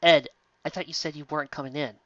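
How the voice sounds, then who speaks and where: High-voiced man, theatrical and sarcastic. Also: fairly even intonation, quick pace, a bit bright, no echo, highs cut off. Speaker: commentator reading and mocking fan fiction. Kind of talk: dramatic reading with sarcastic running commentary.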